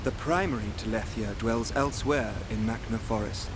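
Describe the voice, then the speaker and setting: An actor playing a calm, enigmatic young mentor in a dramatic video game, speaking in a measured, formal way. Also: male voice, lowish voice, noisy background, lightly acted. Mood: knowing, solemn. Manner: measured, composed, cryptic, formal. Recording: loud music behind. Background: loud game music.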